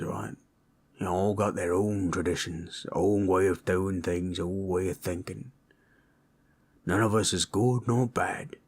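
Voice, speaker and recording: A gentle orc storyteller, acted by a man with a very low voice. He takes his time, soothing a listener in an intimate roleplay audio with plain, rustic, ungrammatical speech that carries a husky grain. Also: exaggerated voice. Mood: soothing, reflective. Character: gentle, comforting male orc, rough-spoken old-lore storyteller.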